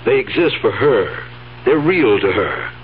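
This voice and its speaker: An actor playing a scheming, placating, uneasy nephew in a 1970s radio drama. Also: male voice, fairly low pitch, very animated, measured pace. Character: scheming nephew humoring his confused old aunt. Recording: tinny narrow-band 1970s radio recording, hiss.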